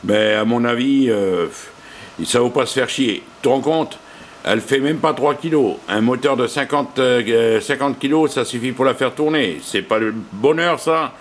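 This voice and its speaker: A man's voice: medium pitch, moderate pace, slight background noise. A man working as an amateur radio operator, chatting casually and colloquially, with plenty of radio jargon. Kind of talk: casual radio contact chat.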